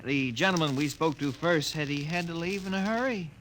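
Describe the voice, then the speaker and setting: A man's voice: fairly high pitch, a little fast, a bit bright, close to an everyday voice. A man playing a meek, apologetic father in a 1950s radio drama, speaking hesitantly and deferentially. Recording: noisy old radio recording.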